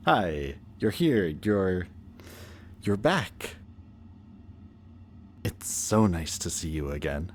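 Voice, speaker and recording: A man acting a possessive, anxious antihero and devoted best friend in an emotional, halting audio roleplay addressed to the listener. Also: sits low, very animated, slight background noise, exaggerated voice. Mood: flustered. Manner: halting, hesitant, earnest.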